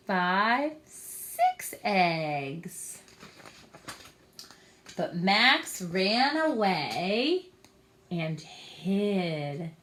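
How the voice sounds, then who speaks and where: A woman reading a story aloud expressively, as if to young children. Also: mid-range voice. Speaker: storytime reader. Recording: roomy echo.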